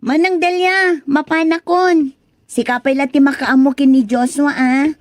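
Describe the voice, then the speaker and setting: A woman's voice: high voice, fairly even intonation, unhurried, no room sound, clean studio sound. A woman playing an exasperated young wife and mother in a radio drama, whining and scolding sharply. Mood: hurried, instructing. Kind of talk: Filipino radio drama acting.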